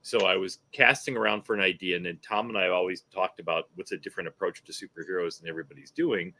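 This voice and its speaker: Deep-voiced middle-aged man, bright and forceful. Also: steady tone, quick pace, gravelly. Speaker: guest filmmaker. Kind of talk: casual panel chat.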